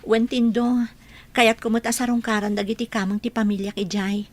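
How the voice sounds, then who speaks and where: A woman's voice: a little high, flat delivery, normal speed, natural voice. A woman playing a hospitable, friendly big-sister figure in an Ilocano radio drama, with an Ilocano Filipino accent. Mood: inviting.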